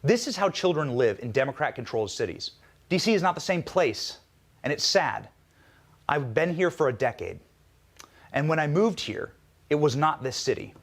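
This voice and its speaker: A man's voice: fairly high pitch, animated, normal speed. An American man delivering a scripted political monologue as a commentator, in an emphatic, rhetorical way.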